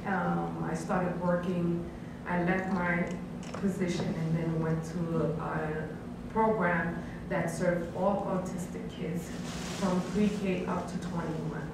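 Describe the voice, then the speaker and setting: A woman's voice: lowish voice, flat delivery, slow pace. A woman of Haitian background, a family counselor on a panel, talking earnestly with many um fillers. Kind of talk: unscripted panel talk. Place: college event room. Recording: echoey room, distant mic, background hiss.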